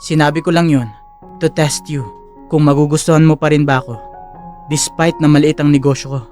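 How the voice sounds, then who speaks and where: A man's voice: a little high, flat delivery, fairly quick, a little mellow, faint music behind, natural voice. A man playing a friendly, smitten young corporate executive in an audio drama. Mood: explaining.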